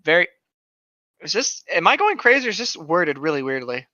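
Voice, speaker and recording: A man's voice: on the high side, sing-song, brisk, bright, forceful tone, no echo, muffled recording. A man co-hosting, reading a story aloud with casual, sweary commentary and stopping to correct himself. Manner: sweary, self-correcting reader. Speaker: co-host.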